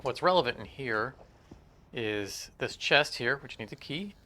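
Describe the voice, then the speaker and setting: Bright-voiced man giving game commentary. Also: medium pitch, lively intonation, measured pace. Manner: explanatory, informal. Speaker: gaming video host. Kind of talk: casual game walkthrough commentary.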